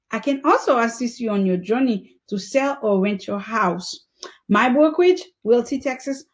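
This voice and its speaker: A woman, a real estate agent giving an earnest, scripted pitch for her services. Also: a little mellow. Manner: slow, deliberate, earnest delivery. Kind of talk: scripted self-promotional pitch.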